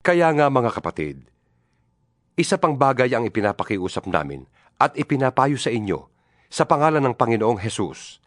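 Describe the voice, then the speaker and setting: A man's voice: medium pitch, dry recording, clean studio sound, natural voice. A man playing an earnest, fatherly apostle exhorting his flock in a dramatized Bible reading.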